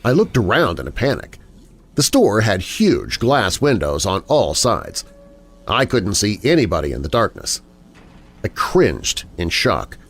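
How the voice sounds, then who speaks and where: A man reading first-person scary stories as a narrator, in a dramatic, expressive storytelling manner. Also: on the low side, unhurried. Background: background music.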